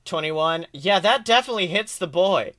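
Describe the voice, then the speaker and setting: A man's voice: sits high, lively intonation, normal speed, pushed and bright. A man casually running a tabletop game as game master, teasing and joking as he narrates. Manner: teasing, jokey. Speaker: game master, podcast host.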